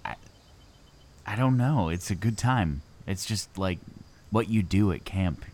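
Animated, easygoing young man playing a camper. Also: low voice. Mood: friendly, unsure. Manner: casual, halting, animated. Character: easygoing, friendly young male camper who loves Frisbee. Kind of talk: naturalistic casual dialogue in audio drama.

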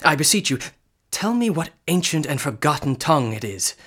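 A haunted young sculptor in a radio play. His voice sits a little high, and his delivery is florid, urgent and deferential. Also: big pitch swings, brisk, fairly bright tone. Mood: feverish, awed. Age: young adult. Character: intense, earnest young male sculptor haunted by dreams. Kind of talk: radio drama acting.

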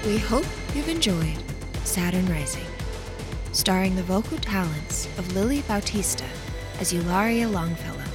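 A woman's voice: lowish voice, expressive, moderate pace, over music. A woman reading scripted show credits as an announcer.

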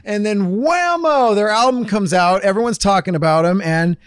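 A man co-hosting a casual talk show, joking and riffing in animated banter. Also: high-pitched, moderate pace, clear, resonant tone, no echo, clean studio sound. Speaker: podcast co-host.

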